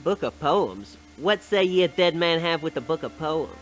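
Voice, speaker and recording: An actor playing a shady, suspicious dealer in scavenged goods in a radio play, theatrical and mock-archaic. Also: male voice, on the high side, expressive, moderate pace, fairly bright tone, soft background music. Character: shady male fence buying scavenged goods, gruff and suspicious.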